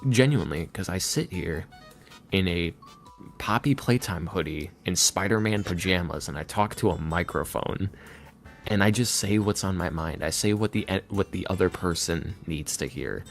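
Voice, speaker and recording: Low voiced guy on a chill podcast, kinda halting and self-deprecating, soft music behind him. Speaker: interview guest, ASMR roleplay creator. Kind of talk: casual podcast interview.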